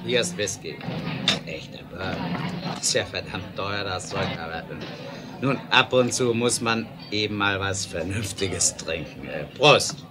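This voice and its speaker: An actor playing a hot-tempered, blustering American railroad magnate in a 1970s German radio play, exclamatory and given to flustered stammering. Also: male voice, on the low side, a little slow, over music, lightly acted. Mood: gruff, hospitable.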